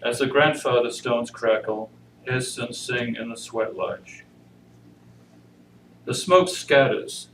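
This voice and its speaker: A man introducing and reading poetry at a church service, measured and solemn. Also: medium pitch, a bit bright. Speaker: congregation member reading inmates' poetry. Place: church service, indoor room. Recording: roomy, noisy pickup far from mic.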